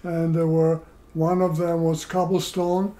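An older man from Poland, seated at home, telling his life story in a slow, measured way with many pauses, recorded by a camera across the room. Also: on the high side, completely flat delivery, dark tone. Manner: slow, measured, pausing between phrases. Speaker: interviewee. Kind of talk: oral history storytelling. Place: living room, indoors.

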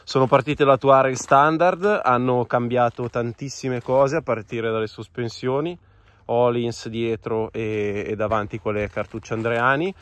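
A man's voice: mid-range voice, fairly even intonation, a little slow, fairly bright tone, no echo. A man with an Italian accent, a rally rider vlogging outdoors and talking straight to his viewers in an upbeat way. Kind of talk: casual vlog commentary. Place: outdoors on a gravel yard and trail.

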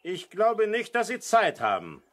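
An actor playing a shifty, defiant crook who passes as a friendly uncle in a 1970s children's radio play. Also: male voice, high voice, wildly swooping pitch, measured pace, a bit bright, heavily acted. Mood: forced cheer.

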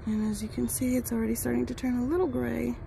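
A woman vlogger sick with the flu, her voice gravelly, chatting in a rambling, self-deprecating way while reviewing glasses at home. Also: a little high, level tone, quick pace, warm tone, slight background noise, poor audio. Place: home, indoors and outdoors in yard.

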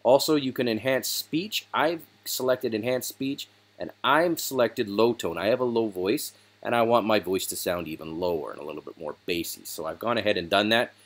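A man hosting a software tutorial, explaining each step in a casual, relaxed way over a screen recording. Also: fairly low pitch, moderate pace, hardly any pauses.

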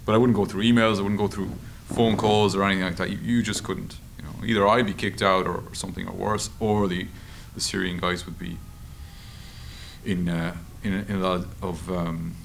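An Irish man answering interview questions in a halting, informal, anecdotal way at a table microphone. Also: low-pitched, gravelly. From Ireland. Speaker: journalist and author being interviewed. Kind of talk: conversational interview answers at a public discussion. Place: meeting room at a table. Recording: steady background room noise.

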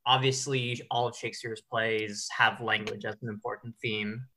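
A man hosting a casual panel discussion as a director, explaining things in a measured way. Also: lowish voice, level tone, sharp tone.

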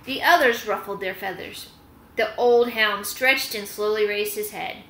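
A woman's voice: mid-range voice, a little slow, bright, forceful tone. A woman in her thirties reads a storybook aloud and gives instructions to children, recorded at home on a laptop. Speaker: host reading aloud to children. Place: small indoor room at home. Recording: roomy, faintly noisy webcam recording.

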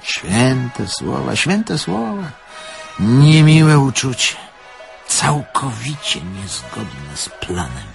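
An actor playing a nostalgic, proud older German man in an audio drama, speaking in a theatrical, deliberate, halting way. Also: medium pitch, big pitch swings, vocal fry, soft background music, exaggerated voice. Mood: rueful. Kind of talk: Polish audio drama acting.

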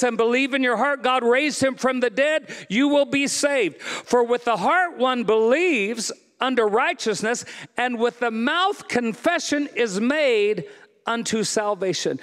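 A middle-aged American man, a pastor preaching emphatically on a church stage into a handheld mic, then leading a soft altar-call prayer. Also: very high-pitched. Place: large church stage with band.

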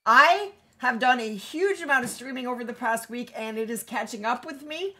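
Bright chatty woman losing her voice. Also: on the high side, animated, moderate pace. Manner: chatty, greeting viewers by name. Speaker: streamer. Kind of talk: live game stream chat. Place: home streaming setup.

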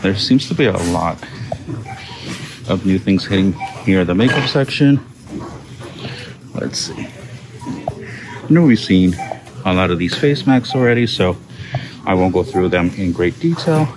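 A man's voice: low-pitched, expressive, unhurried, pauses often. A man hosting a shopping vlog, chatting casually and reading product labels aloud as he walks through a store. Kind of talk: casual store walkthrough narration. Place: inside a large discount store. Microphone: handheld phone camera. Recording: phone recording with store background noise. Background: store ambience.

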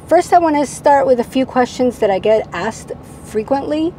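Middle-aged female vlogger, Spanish as first language, soft-edged relaxed tone, explanatory to-camera talk, some noise inside a van. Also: high voice, moderate pace. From Venezuela, moved to the United States at ten. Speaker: vlogger, channel host. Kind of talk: casual vlog, answering viewer questions to camera. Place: parked camper van cab. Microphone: lapel mic. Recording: steady background noise inside a vehicle.

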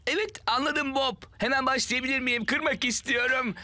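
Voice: high-pitched, moderate pace, pushed and bright, no room sound. An actor voicing an eager, easily confused talking digger machine in a children's cartoon, pleading and repeating words with breathless enthusiasm. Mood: excited, pleading. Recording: music behind the voice.

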